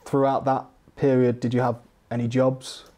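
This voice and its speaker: A British woman with an extremely low, mellow voice hosts a casual interview. She puts her questions in a conversational, chatty style. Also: flat delivery, normal speed. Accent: British English. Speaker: interviewer, host.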